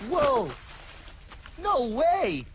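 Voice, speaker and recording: A man with an American accent voicing a naive, excitable cartoon everyman who pleads and whines. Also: very high voice, drawn-out words, very noisy, exaggerated voice. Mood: amazed. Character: naive, panicky everyman who lost his house. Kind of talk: exaggerated cartoon voice acting.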